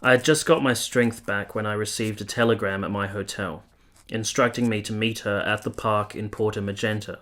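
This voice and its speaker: An actor playing an earnest, tender young officer in a radio play, speaking and narrating in a measured, sincere, literary way. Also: male voice, lowish voice, steady tone, fairly bright tone, natural voice. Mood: reflective, wistful.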